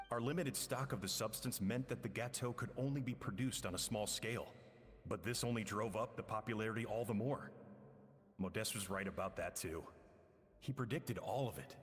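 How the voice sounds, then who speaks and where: A man voicing a regretful, self-doubting man in a video game monologue, wistful and measured. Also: fairly low pitch, a bit bright. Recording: long cavernous reverb, music behind.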